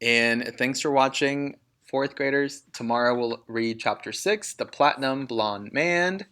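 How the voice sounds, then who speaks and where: A man reading a children's book aloud as a teacher, in an expressive storytelling style. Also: mid-range voice, unhurried.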